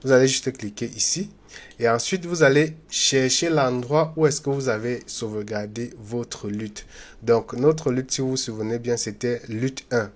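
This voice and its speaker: A man hosting a software tutorial, talking loosely and chattily with many fillers and restarts, and speaking straight to his viewers in a friendly way. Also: medium pitch, normal speed. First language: not English. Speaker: YouTube tutorial host. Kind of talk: unscripted screen-recorded software tutorial.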